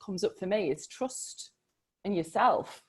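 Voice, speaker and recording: A woman coach co-hosting a relaxed chat about books and mindset, talking in an animated, emphatic way. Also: mid-range voice, a little slow, husky. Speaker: coach and co-host. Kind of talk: casual conversation.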